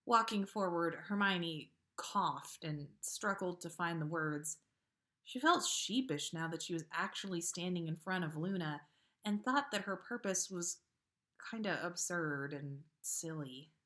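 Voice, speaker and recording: A woman reading a story aloud as an expressive narrator, like a podcast host sharing fan fiction. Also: on the low side.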